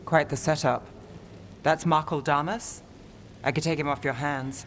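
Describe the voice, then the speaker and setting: Cool, dry game detective. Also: female voice, low voice, fairly even intonation, measured pace, slight background noise. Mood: focused. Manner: terse, dry, matter-of-fact. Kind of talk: video game acting.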